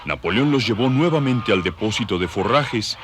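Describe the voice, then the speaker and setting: A man's voice: on the low side, animated, moderate pace. A man narrating a dramatized novel in a scripted storytelling style. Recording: farm animal noise behind.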